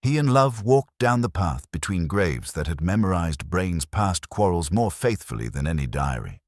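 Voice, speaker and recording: A man narrates a literary mystery with dry, understated wit. His voice is extremely deep and slightly raspy, with a lively melodic range, recorded close and dry. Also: normal speed, dry recording. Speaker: narrator and reader of a mystery story. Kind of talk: scripted literary narration with dry, wry humour.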